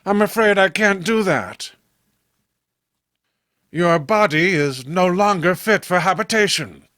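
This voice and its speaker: A man voicing a sinister, scheming occult master with a formal, measured manner for a horror comic. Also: sits high, animated, a bit bright, no echo, clean studio sound, exaggerated voice. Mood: coldly menacing. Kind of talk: horror comic read-along acting.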